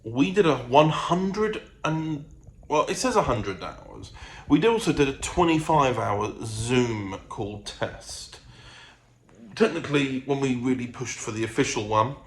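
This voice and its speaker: A man talks casually to his vlog audience over audible background noise. His voice sits at an average pitch. He rambles and hesitates, feeling his way through his thoughts. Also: expressive, a little slow. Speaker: vlogger. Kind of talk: casual chat to camera.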